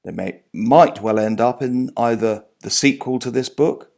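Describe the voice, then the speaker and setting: A man reading from his own non-fiction book as its author, in a measured, articulate, literary manner, phrase by phrase, letting his lines fall away at the end. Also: medium pitch, vocal fry, darkish tone.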